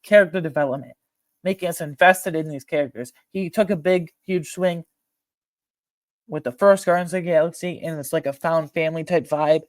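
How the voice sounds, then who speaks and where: A man hosting a film ranking video, talking informally and emphatically with slang and a halting, opinionated delivery. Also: fairly high pitch, fairly even intonation, dry recording. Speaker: video host ranking films.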